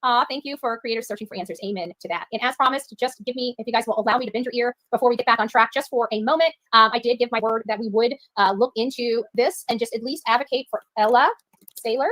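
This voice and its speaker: High voiced lady livestreamer talking fast, rambly, emphatic, repeats herself, dry sound. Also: fairly bright tone, dry recording, rough recording. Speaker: livestream host reading viewer chat. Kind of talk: rambling live-stream chat.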